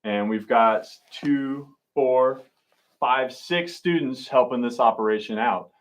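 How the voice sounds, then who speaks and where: Male teacher-host for a live school broadcast, medium pitch, introductory remarks. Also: slow pace. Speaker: teacher hosting a student broadcast.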